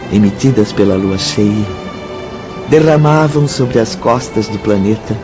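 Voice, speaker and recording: A man narrating a scripted radio drama as its storyteller. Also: mid-range voice. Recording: slightly dull audio, music behind.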